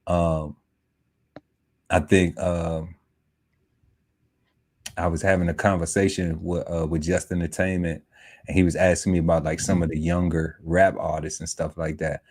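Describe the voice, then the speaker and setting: A man's voice: low-pitched, level tone, measured pace, long pauses, a little mellow. A man in his forties chatting casually on a podcast panel, talking in a loose, laid-back way with many fillers. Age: 40s. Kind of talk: casual chat. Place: home podcast setup.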